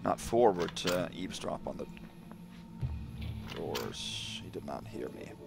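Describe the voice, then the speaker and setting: A man giving relaxed, explanatory gameplay commentary with a hoarse voice from a cold, often pausing on "um". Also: low-pitched. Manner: relaxed, explanatory, frequent "um" fillers. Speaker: let's play commentator. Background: game music.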